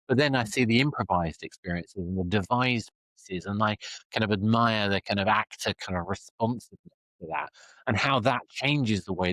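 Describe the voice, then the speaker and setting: A man's voice: fairly low pitch, a bit bright, no echo, rough recording. A man hosting an interview podcast, talking conversationally in a thoughtful, wordy way that circles around his point. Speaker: podcast host interviewing a guest.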